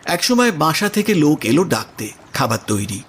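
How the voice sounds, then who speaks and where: A male narrator reads a literary story. His voice is fairly high and husky, with lively swings in pitch, over soft music. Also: normal speed.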